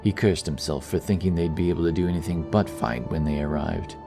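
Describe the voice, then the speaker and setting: Deep-voiced male audiobook narrator. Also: a little fast, music in the background. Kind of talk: scripted fantasy audiobook narration.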